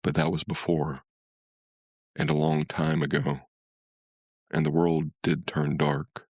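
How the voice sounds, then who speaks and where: A man's voice: rumbling low, level tone, slow pace, darkish tone, dry recording, studio quality. A man reading a literary short story as scripted narration.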